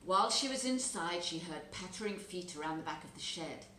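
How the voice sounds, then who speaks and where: A woman reading a children's story aloud as an expressive narrator. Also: on the low side, a little fast. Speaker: storybook reader. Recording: roomy echo, background noise.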